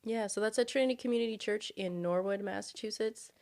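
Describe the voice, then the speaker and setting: Lively woman in her thirties, storyteller. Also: medium pitch, fast talker, vocal fry. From Brazil, raised in the United States. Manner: lively storyteller, laughs often. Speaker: guest, high school ministries director. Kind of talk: casual podcast chat.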